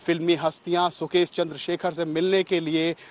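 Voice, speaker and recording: A man's voice: a little high, level tone, moderate pace. A man working as a news reporter, explaining a story in report style.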